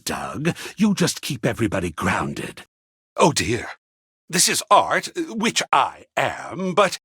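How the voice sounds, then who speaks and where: An actor playing a flamboyant, seductive living work of art in a video game, theatrical and affectionate, with breathy husky endearments. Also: male voice, mid-range voice, a bit bright, no echo, studio quality, full character voice. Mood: flustered, insistent. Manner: theatrical, drawn-out purring endearments with sudden exclamations. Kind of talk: theatrical game character acting.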